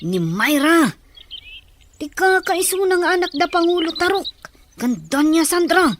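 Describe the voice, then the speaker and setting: A serious tribeswoman in a film drama acted by a woman. She speaks in a high voice, with faint noise and birdsong behind her. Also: lively intonation, measured pace. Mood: grave. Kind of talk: dramatic dialogue in an Ilocano film.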